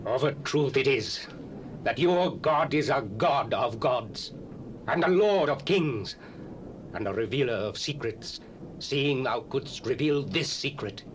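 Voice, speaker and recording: An actor playing a mighty ancient king, speaking in slow, weighty, deliberate formal speech. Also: mid-range voice, lively intonation, slight background noise. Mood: awed. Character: mighty ancient male king, awed and humbled. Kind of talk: dramatized scripture reading.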